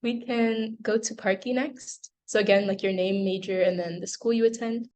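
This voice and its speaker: A woman's voice: mid-range voice, steady tone, normal speed, darkish tone, a little hiss or hum. Woman running a student panel, laid-back, lots of likes and ums. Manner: casual, filler-heavy. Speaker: panel moderator. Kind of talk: moderating a panel.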